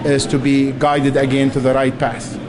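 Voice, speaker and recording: A man speaking as a community voice in an interview, halting with many fillers. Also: medium pitch, monotone. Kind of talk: interview statement. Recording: noisy crowd around.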